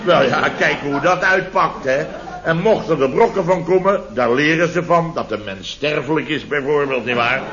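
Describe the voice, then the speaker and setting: Man acting a indignant contractor boss, sarcastic, theatrical, raised pitch, muffled old radio comedy recording. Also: moderate pace, a crowd in the background, lightly acted. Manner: theatrical, exclamatory, peppered with rhetorical tags. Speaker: actor in a radio comedy serial. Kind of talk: late-1960s Dutch radio comedy acting. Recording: muffled vintage radio recording, audience behind.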